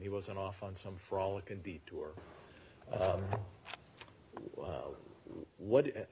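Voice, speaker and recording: A man presiding as a judge, questioning lawyers in court with a probing, halting manner. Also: lowish voice, a little mellow, husky. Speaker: appellate judge.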